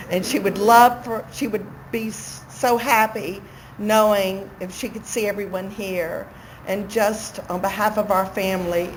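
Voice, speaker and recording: A woman speaking as a daughter, giving a heartfelt tribute and thanks at a funeral. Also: medium pitch. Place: funeral chapel. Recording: noisy live room recording.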